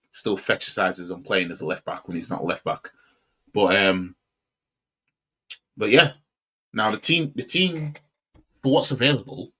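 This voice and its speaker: A man's voice: on the low side, bright, forceful tone, rough recording. A man with a Yorkshire accent giving a casual, opinionated football match preview on his stream, blunt and slangy. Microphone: streaming desk mic.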